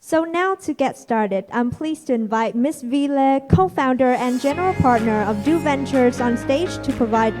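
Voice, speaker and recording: High-voiced woman emceeing over faint music. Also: animated, moderate pace, hardly any pauses, a little mellow. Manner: formal, reads announcements smoothly. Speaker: event emcee. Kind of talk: scripted event announcements. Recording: reverberant hall with music behind.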